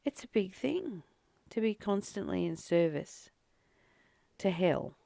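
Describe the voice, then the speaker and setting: A woman speaks slowly, with a noticeable creak in her voice. She reads and reflects on spiritual ideas in a contemplative, informal way, leaving pauses and circling back over phrases. The recording is close and dry. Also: mid-range voice, expressive, warm tone, no room sound. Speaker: host of a spiritual reading and study series. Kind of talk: reflective spiritual reading with informal personal commentary.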